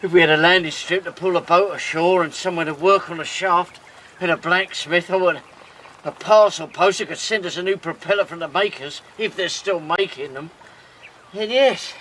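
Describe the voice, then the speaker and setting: An actor playing a rough, blunt boat mechanic in a radio drama. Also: male voice, high voice, moderate pace, a bit bright, slight background noise, rough recording. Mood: sarcastic. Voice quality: coarse working-class speech, blunt and teasing. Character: rough cockney boat mechanic, gruff but fond.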